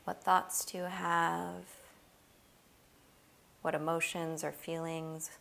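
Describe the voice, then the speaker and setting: A woman leading a guided body scan meditation, giving gentle, unhurried instructions with long pauses. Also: on the low side, level tone, gravelly.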